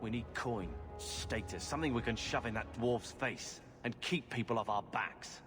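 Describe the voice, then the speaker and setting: A man plays an intense, frustrated young man in a fantasy game. He has a medium-pitched voice and a bitter, earnest edge. The sound has some reverb and light music behind it. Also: moderate pace, fairly bright tone, lightly acted. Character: hot-headed, brooding young man, fugitive younger brother.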